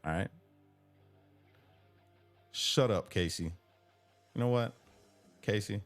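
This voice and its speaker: A man's voice: on the low side, slow pace, soft background music. A man hosting a live call-in stream, chatting casually, joking and teasing, reading chat comments aloud. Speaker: live show host. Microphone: headphones with computer mic.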